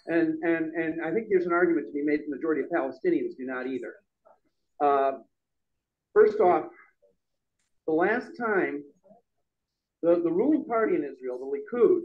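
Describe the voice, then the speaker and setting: A middle-aged man giving a loud, emphatic speech at an open-mic forum in a bar room. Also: on the high side, animated, moderate pace, long pauses, muffled recording. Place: bar room with a small audience. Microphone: stand mic.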